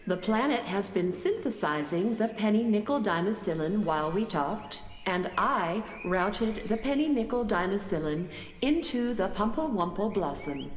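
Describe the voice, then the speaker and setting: A woman's voice: mid-range voice, animated, lightly acted. Echoing, matter-of-fact android woman, acted out by a woman. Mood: calm, reassuring. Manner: measured, literal-minded, explanatory. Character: gentle, matter-of-fact female planet-powering starship android. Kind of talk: children's radio play acting. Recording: echoey hall reverb, music behind.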